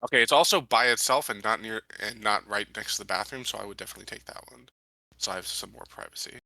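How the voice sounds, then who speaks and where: A man's voice: on the low side, fast, pushed and bright, no room sound, low-quality recording. A man playing a tabletop roleplaying game, chatting casually with the group.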